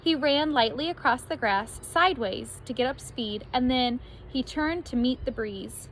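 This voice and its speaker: A woman reading a children's story aloud like a teacher, expressively, with animated emphasis on key words. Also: high-pitched, unhurried, a little hiss or hum, poor audio.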